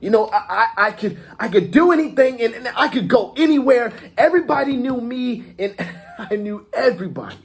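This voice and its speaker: A man performing a dramatic monologue as a swaggering, foul-mouthed gangster reminiscing about his youth, halting and repeating words for emphasis. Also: mid-range voice, lively intonation, breathy edge, exaggerated voice. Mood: proud, fired up.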